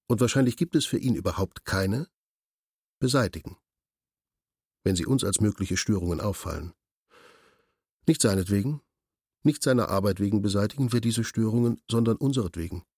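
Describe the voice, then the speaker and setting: An actor playing a curt, imperious senior official in a radio drama, speaking in a formal, pedantic, bureaucratic manner. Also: male voice, fairly low pitch, darkish tone, husky, dry recording, clean studio sound. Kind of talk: German radio drama acting.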